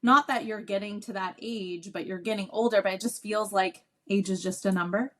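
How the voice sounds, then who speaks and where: A woman in her mid-thirties hosts a podcast in an easygoing, chatty way. She gets animated and laughs easily. Her voice sits at a middle pitch. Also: a little fast. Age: 30s. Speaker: podcast host. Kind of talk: casual chat.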